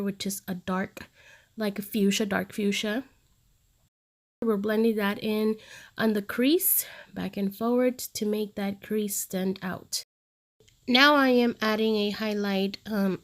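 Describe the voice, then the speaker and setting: Casual makeup-artist woman, 30s, stuffy nose from a cold, friendly step-by-step voice-over. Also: medium pitch. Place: home studio, recorded separately as voice-over.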